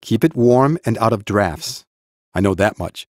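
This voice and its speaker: An actor playing a stern but kindly mage teacher in an audiobook, with a measured, instructive, dryly practical manner. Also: male voice, on the low side, animated, no echo, clean studio sound. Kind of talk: full-cast audiobook dialogue.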